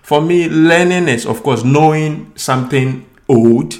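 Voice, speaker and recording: A man, co-hosting a casual podcast conversation, telling stories in an animated way and acting out other people's lines in a raised voice. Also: fairly high pitch, a little slow. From Ghana. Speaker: podcast co-host.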